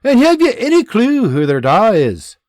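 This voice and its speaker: A man voicing a gruff Scottish army sergeant who barks orders and scolds his men in a Scottish accent. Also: extremely high pitch, huge pitch swings, normal speed, darkish tone, no room sound, studio quality, voice pushed up, full character voice. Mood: barking. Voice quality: barking, scolding.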